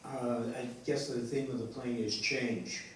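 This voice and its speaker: A man speaking as a playwright in a rambling discussion, haltingly searching for words and correcting himself. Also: mid-range voice, fairly even intonation. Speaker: playwright discussing his play. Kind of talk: rambling post-show discussion. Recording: echoey room, distant mic, faint noise.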